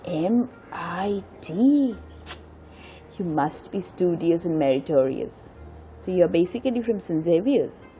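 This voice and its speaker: A woman's voice: on the low side, animated, a little slow, mellow, faint music behind. A woman playing a bookish, teasing young Indian student in an audio drama, with an Indian accent. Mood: curious. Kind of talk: scripted audio drama dialogue.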